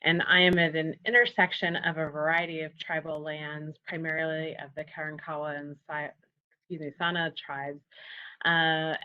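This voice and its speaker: A woman psychologist introducing herself in a webinar, measured with some fillers. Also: low voice. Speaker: psychologist in private practice. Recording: dull lo-fi call audio.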